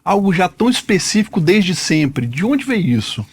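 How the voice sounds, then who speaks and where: A man's voice: sits high, very animated, moderate pace, husky. A man in his forties hosting a podcast interview, introducing his guest and asking questions with emphatic enthusiasm. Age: 40s. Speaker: podcast host.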